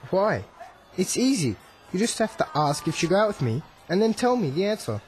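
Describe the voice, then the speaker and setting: A man's voice: sits high, big pitch swings, fairly quick, darkish tone, slight background noise. An actor playing a nervous, lovestruck teenage boy in a radio drama, asking anxious, eager questions. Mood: pleading, nervous. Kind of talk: radio drama acting for English learners.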